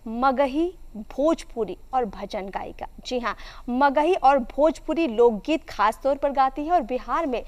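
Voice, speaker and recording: A woman's voice: high voice, lively intonation, a little slow, few pauses, a little mellow. A woman hosting a television show, presenting to viewers and speaking with guests, in reverberant studio sound. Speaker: TV host.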